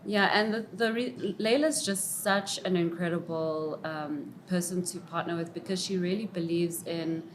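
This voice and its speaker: A woman's voice: on the low side, moderate pace, few pauses. A South African woman, a filmmaker, answering casually in an interview, often saying "like" and "you know". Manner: informal, peppered with "like" and "you know". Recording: clear background noise, room ambience.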